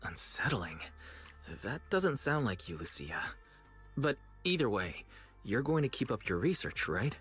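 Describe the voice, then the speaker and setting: A man playing a calm, supportive young friend who asks thoughtful questions in a video game. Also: medium pitch, measured pace, breathy edge, full character voice. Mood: thoughtful, teasing. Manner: thoughtful, encouraging. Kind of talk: English video game voice acting. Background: game music.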